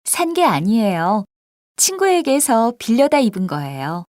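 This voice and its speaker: A woman voicing scripted dialogues and example sentences for a language textbook, with clear, expressive line reading. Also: a little high, unhurried, no echo, clean studio sound. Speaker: textbook audio voice actor.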